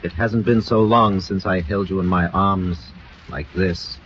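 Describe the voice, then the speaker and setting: An actor playing a suave, flattering celebrity romancer with florid, ardent delivery in a 1940s radio drama. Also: male voice, low voice, measured pace, a little hiss or hum. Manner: florid, ardent, smooth-talking. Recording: dull old radio recording with music.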